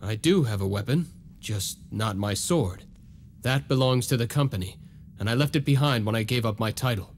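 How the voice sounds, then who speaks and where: Guy doing young noble vampire hunter for a game, normal male pitch, earnest n serious, slight noise in background. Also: normal speed, close to an everyday voice. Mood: resolute. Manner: earnest, solemn, formal. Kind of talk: video game cutscene voice acting.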